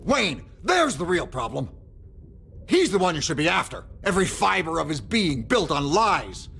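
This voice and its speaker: An actor playing a corrupt middle-aged mayor in a video game, blustering, indignant and easily rattled. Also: male voice, on the high side, sing-song, moderate pace, creaky, a bit bright, breathy edge, heavily acted. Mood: defensive, indignant. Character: corrupt, panicky middle-aged male city mayor, blustering and self-serving. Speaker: politician character. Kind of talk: dramatic video game acting.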